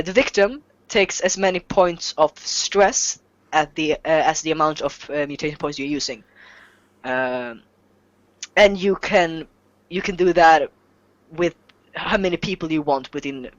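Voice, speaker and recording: Dull-sounding, halting Swedish-speaking game master, sex unclear. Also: mid-range voice, no echo. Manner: halting, self-deprecating, jokey. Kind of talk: explaining tabletop game rules, off the cuff.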